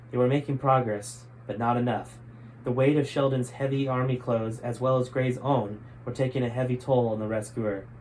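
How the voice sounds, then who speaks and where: A man's voice: mid-range voice, a little mellow, slight background noise, poor audio. A man reading his own book aloud as scripted story narration.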